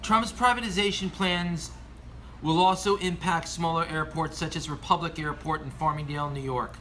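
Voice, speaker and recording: Male American commentator, sharp bright tone, formal scripted delivery with stumbles, faint background noise. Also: a little high, low-quality recording. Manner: earnest, formal reading with stumbles over words. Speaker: aviation commentator. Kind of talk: scripted opinion commentary read aloud.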